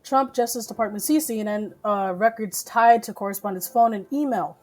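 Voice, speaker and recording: A just-woken woman hosting a live news stream from home, reading articles haltingly, stumbling and swearing as she comments. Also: on the high side, darkish tone. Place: home, relatives talking downstairs.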